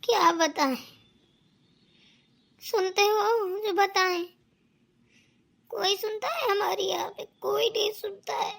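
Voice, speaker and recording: A woman voicing a put-upon young daughter-in-law in a family cartoon, plaintive, meek and quietly resentful. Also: very high-pitched, slow pace, gravelly, pauses often, exaggerated voice. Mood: weary, resigned. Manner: plaintive, complaining, dutiful. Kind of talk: Hindi family cartoon melodrama.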